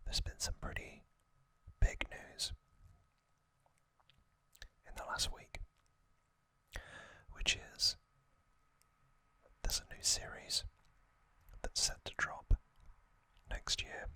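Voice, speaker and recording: Slow, sharply bright male ASMR whisper. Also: no echo. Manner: soft unvoiced whisper with frequent "uh" and "um" fillers. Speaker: ASMR channel host. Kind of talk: whispered ASMR trivia talk.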